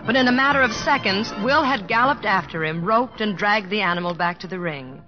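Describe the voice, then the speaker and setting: An actress playing an affectionate, practical young wife in an old radio drama, speaking with gentle, teasing warmth as she tells her story. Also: mid-range voice, animated, brisk, lightly acted. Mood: storytelling, excited. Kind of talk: 1950s radio drama acting and narration. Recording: dull old radio broadcast with music.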